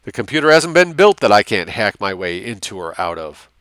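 A man's voice: lowish voice, very animated, brisk, fairly bright tone, dry recording. Guy doing a cocky hacker dude, bragging, war story audiobook, dry sound. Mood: boastful. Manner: boastful. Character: cocky male military computer hacker.

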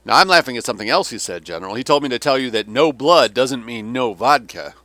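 Guy playing russian colonel in an audiobook, brisk n amused, wry, bright punchy voice, loyal underling type. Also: medium pitch, expressive, no room sound. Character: jovial, wry Russian colonel, loyal subordinate and drinking friend. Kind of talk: dramatic audiobook character reading.

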